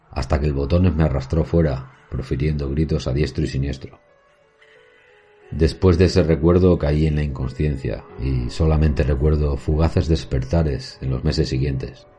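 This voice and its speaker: A very deep, dark actor's voice of a haunted male professor tells a horror story. The delivery is solemn and measured, with literary phrasing. Faint music plays underneath. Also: husky. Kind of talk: scripted horror narration.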